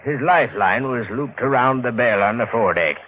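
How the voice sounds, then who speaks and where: A man playing a matter-of-fact salvage diver giving a report in an old-time radio drama, plain and measured. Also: mid-range voice, animated, natural voice. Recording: tinny, noisy vintage radio recording.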